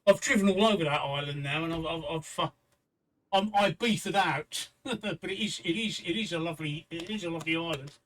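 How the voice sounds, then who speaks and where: A man's voice: on the high side, brisk, a bit bright, a little hiss or hum. A man in his sixties chatting casually as co-host of a livestream talk show, rambling and joking, repeating himself often. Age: 60s. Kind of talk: casual chat. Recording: voice somewhat far from the mic.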